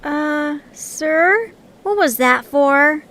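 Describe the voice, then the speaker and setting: A high-voiced, pragmatic young female creature acted by a woman in an audio story. She is blunt, impatient and dryly sardonic. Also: lively intonation, very slow, background noise, heavily acted. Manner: dry, sardonic.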